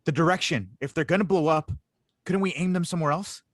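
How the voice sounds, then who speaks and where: A man playing an urgent, quick-thinking crewman in a sci-fi audio drama table read. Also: fairly high pitch, no room sound, clean studio sound, close to an everyday voice. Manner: urgent, insistent.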